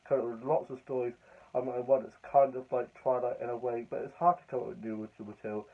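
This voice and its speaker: Hoarse, chatty man on a tinny line. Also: mid-range voice, little pitch movement, quick pace. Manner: chatty, rambling, upbeat. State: hoarse and croaky from a cold. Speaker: vlogger. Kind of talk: casual vlog chat. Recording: tinny phone-like audio.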